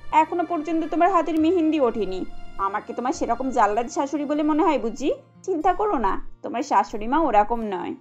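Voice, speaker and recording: A woman voicing a caring middle-aged mother-in-law in a cartoon, motherly and gently insistent. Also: sits high, expressive, fairly quick, dull audio, faint music behind. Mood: reassuring.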